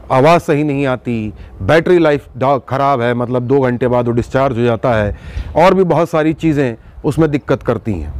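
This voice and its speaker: A man hosting an investigative news show, speaking emphatically to viewers with dramatic pauses and rhetorical questions. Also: a little high, a little mellow, clear, resonant tone, no room sound. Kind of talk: news exposé monologue to viewers.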